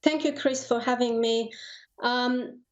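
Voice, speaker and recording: Afghan-born woman, 30s, interview guest, pretty flat tone, stop-start and earnest, kinda muffled lo-fi sound. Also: fairly high pitch. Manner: halting, repeats words, earnest. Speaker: interview guest, lawyer and human rights advocate. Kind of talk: live news interview.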